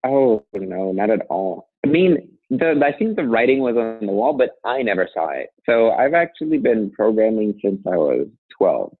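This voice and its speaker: A man's voice: lowish voice, big pitch swings, moderate pace, gravelly, slightly rough, dry recording. Man from Colombia, thirties, casual guest, light laughs, restarts phrases, dry close sound. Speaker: guest, software engineer. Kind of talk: casual conversational interview. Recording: tinny phone-like sound, dry.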